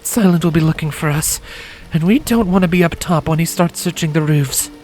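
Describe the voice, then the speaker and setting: A man's voice: on the high side, a little fast, faint music behind, pitched above the performer's own voice, close to an everyday voice. A man voicing a stern, stressed female unicorn in a storybook reading, with an urgent, curt manner. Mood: cold, curt. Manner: urgent, hushed, snapping.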